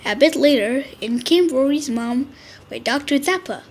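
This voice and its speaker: A woman reading a children's picture book aloud, clear and expressive. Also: high voice, normal speed. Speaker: children's storyteller.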